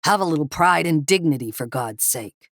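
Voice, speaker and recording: A man's voice: on the high side, lively intonation, moderate pace, vocal fry, fairly bright tone, dry recording, clean studio sound. A woman voicing a stern older man who speaks in a curt, contemptuous way. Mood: scornful.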